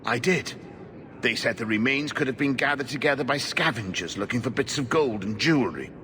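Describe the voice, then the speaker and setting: A man acting a weary, stubborn older investigator in a video game, speaking in an earnest, formal, measured way. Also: mid-range voice, expressive, bright, forceful tone, slight background noise. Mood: frustrated.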